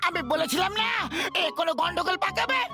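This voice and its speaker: A man's voice: very high voice, fast, raised voice, over loud music. A man voicing a skeptical, sensible cartoon sidekick who nags and cautions. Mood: scolding, complaining. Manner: nagging, cautioning. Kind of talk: cartoon dub acting.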